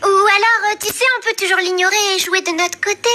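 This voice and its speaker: Tiny, extremely high cartoon critter. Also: female voice, fairly even intonation, fairly quick. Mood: playful. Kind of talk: cartoon dub acting. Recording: loud music under the dialogue.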